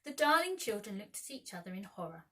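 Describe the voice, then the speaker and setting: Bright-voiced woman reading a storybook. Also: medium pitch, sing-song, fast. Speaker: primary school teacher reading aloud. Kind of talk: dramatic children's storybook narration.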